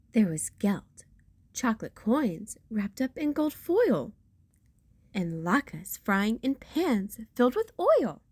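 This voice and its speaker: A woman's voice: high-pitched, husky, no room sound. A woman reading a rhyming children's picture book aloud with theatrical storyteller emphasis.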